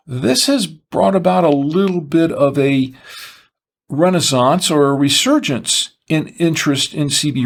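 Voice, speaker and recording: A man presenting explanatory product commentary, speaking in measured, deliberate phrases with pauses. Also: medium pitch. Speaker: presenter of a two-way radio show.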